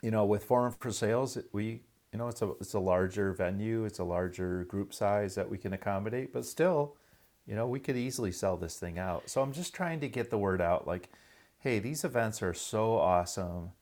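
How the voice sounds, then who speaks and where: A man with a fairly low voice talks as a podcast host. He is informal and chatty, pitching industry events with open enthusiasm in a conversational style. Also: moderate pace, a little mellow. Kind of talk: casual conversational promotion.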